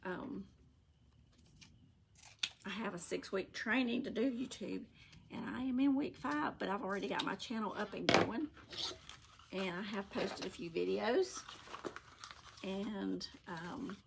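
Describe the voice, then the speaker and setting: A middle-aged woman with a Southern US accent speaks in a raspy voice that crackles heavily with vocal fry. She is folksy and unhurried, like someone hosting a casual live craft tutorial. Faint noise sits behind her, picked up across a worktable at home. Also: medium pitch. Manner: folksy, unhurried, talking while working with her hands. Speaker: craft channel host. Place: indoor home craft room.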